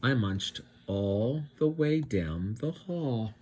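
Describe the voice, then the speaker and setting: A man reading a children's storybook aloud in a dramatic, expressive, suspenseful way. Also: mid-range voice, slow pace. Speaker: read-aloud teacher narrating.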